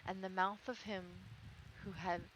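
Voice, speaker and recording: A woman storyteller with a mid-range voice reads aloud like a streamer sharing a storybook. Clear noise sits behind her voice. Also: mid-range voice, little pitch movement, a little slow. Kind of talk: storybook read-aloud with casual asides. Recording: clearly noisy background with clicks.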